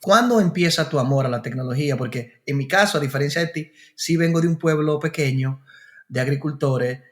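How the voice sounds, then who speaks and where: A man's voice: a little high, brisk. A man with a Dominican accent hosting a podcast, giving prepared introductions and asking questions. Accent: Dominican Spanish. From Dominican Republic. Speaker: podcast host. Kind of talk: podcast interview.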